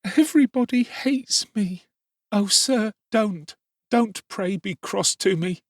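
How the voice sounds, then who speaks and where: A man's voice: sits high, slow, no echo, studio quality, voice pushed up. A man voicing a frightened small workhouse boy who sobs and pleads. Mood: sobbing, pleading. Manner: sobbing, pleading.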